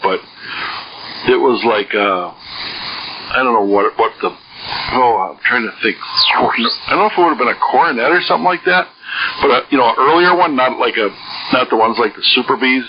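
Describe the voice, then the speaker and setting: A man's voice: mid-range voice, muffled recording. A man with an American Midwestern accent chatting casually over two-way radio, rambling and thinking aloud. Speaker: amateur radio net participant. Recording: noisy band-limited shortwave radio transmission.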